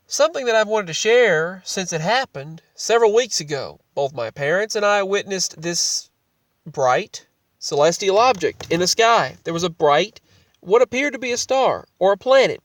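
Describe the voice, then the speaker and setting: A man's voice: sits high, no room sound, recorded from a distance. A man with a cold, sounding congested, talking casually to his vlog audience and often restarting and repeating his phrases. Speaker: vlogger.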